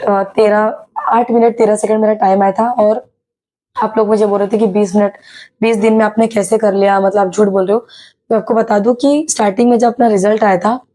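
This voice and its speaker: A young woman from Maharashtra with a slightly sore, hoarse throat, telling a casual vlog story with many hesitations. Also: mid-range voice, mellow. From Maharashtra, India. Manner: chatty, many hesitations and English words mixed in. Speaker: YouTuber sharing her exam experience.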